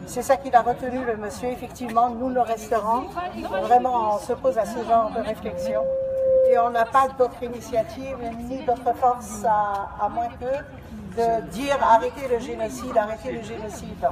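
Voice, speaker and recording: A woman gives an impassioned speech at a street protest, her voice a little high. She repeats her phrases for emphasis and fills the gaps with hesitations. A crowd murmurs around her outdoors. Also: steady tone, moderate pace. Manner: impassioned, repetitive, with fillers. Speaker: protest organizer. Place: outdoors on a city square at a protest.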